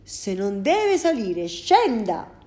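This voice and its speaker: A woman voicing an impatient, angry male bus driver who speaks slowly and loudly in a storybook. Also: medium pitch, very animated. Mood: exasperated. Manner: slow, loud and exasperated. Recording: roomy echo.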